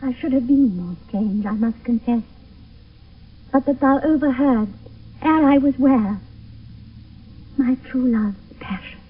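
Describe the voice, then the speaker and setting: An old, tinny radio play with a distant sound. a lovestruck British maiden, high and breathy, speaking verse with fervent, anxious tenderness. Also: female voice, measured pace, long pauses. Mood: ardent confession. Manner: theatrical, fervent verse delivery. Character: Juliet, lovestruck young maiden, ardent and anxious. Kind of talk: 1970s classical radio drama verse acting.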